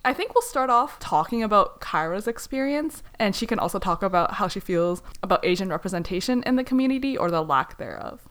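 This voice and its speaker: A young woman co-hosting a podcast, with a voice of middling pitch. She talks in a friendly, casual way and reacts with short sounds. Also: animated, fairly quick, few pauses, a bit bright. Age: young adult. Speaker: podcast co-host. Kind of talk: casual chat.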